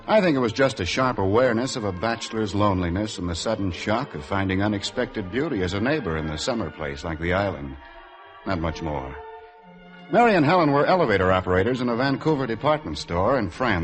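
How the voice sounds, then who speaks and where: A man's voice: fairly low pitch, natural voice. An actor playing an easygoing, reflective man who narrates a 1950s radio drama in a wry, conversational way. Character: Mac, easygoing, reflective man recalling a summer acquaintance. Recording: 1950s radio broadcast with music underneath.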